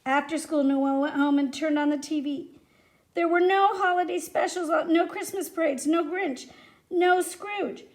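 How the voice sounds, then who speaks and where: Female storyteller, high voice, animated and expressive, reading a picture book to young children. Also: a little slow. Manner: animated, addresses young listeners with questions. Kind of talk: expressive read-aloud for children.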